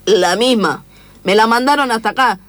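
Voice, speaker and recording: A woman playing an aimless, excitable seeker in a comic radio play, hesitant, rambling and naively enthusiastic. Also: on the high side, normal speed, no room sound, natural voice.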